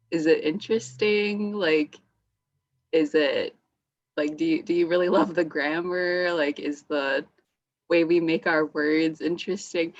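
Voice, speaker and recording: A young woman student on a panel, talking casually and hesitantly with frequent "like" and soft laughs. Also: low voice, muffled recording. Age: young adult. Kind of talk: casual panel talk over video call.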